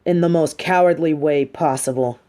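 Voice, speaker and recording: A woman playing a coolly menacing, manipulative but sympathetic supervillain in a scripted audio roleplay, speaking deliberately with theatrical condescension. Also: low voice, dark tone, lightly acted. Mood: cold persuasion.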